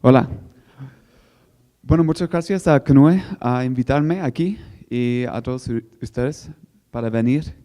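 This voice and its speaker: A man from the United States with an American accent, giving a technical presentation in a second language, halting as he searches for words. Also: medium pitch, warm tone. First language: English. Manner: halting, searching for words in non-native speech. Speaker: guest speaker at a technical workshop. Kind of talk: instructional presentation.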